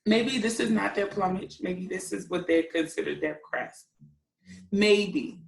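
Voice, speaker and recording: A woman's voice: medium pitch, measured pace, creaky. A woman hosting a children's storytime, reading and explaining words simply in an encouraging way to young listeners. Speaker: children's read-aloud host and teacher. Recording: echoey room.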